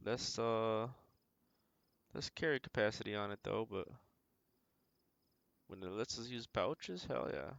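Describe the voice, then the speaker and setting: A man's voice: on the low side, measured pace, creaky, long pauses, a bit bright, dry recording, dull audio. A man giving casual video game commentary as a streamer, thinking aloud in short, slangy remarks.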